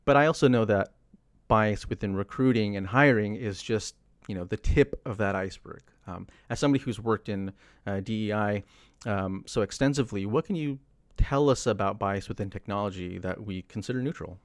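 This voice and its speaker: A young male podcast host with a lowish voice, recorded dry and close on a studio condenser mic. He frames his questions in a measured way, filling pauses with "you know" and "um". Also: dry recording. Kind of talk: interview. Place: home studio room. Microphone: studio condenser mic with headphones.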